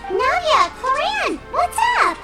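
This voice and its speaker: A tiny fairy sidekick from a video game, childlike and excitable. The voice sits extremely high and swings wildly as it exclaims. It echoes over loud music. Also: female voice, slow, full character voice. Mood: eager, curious. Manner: exclaiming, speaks of herself in third person.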